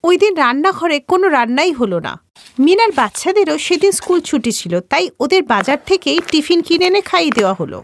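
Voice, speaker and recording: A woman narrating a family cartoon story in a steady, plain way. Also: sits high, fast. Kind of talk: scripted narration.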